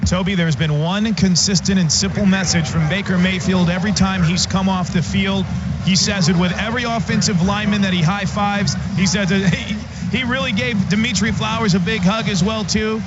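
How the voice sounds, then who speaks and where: A man's voice: high voice, fairly even intonation, moderate pace. A man giving live football commentary as a reporter, in emphatic, punchy phrases. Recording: muffled broadcast, loud stadium noise.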